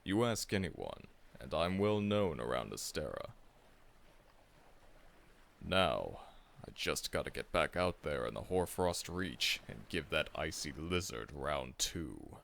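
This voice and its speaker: A man's voice: a bass voice, gravelly, a bit bright, slight background noise, full character voice. A man voicing a seasoned, confident monster hunter who acts as a friendly mentor, reassuring the listener, calling them kid and chuckling often in an ASMR audio roleplay.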